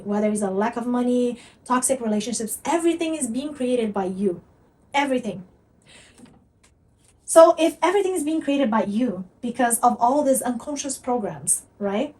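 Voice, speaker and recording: A woman's voice: a little high, darkish tone, distant mic, rough recording. A woman from Brazil with a Brazilian Portuguese accent, teaching earnestly to camera and repeating her points for emphasis. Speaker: manifestation coach.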